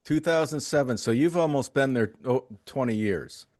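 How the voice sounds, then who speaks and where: Host: man, mid pitch, eager and chatty, dry close sound. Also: normal speed, darkish tone, no room sound. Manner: enthusiastic, repeats words when starting. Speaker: show host. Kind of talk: casual interview hosting.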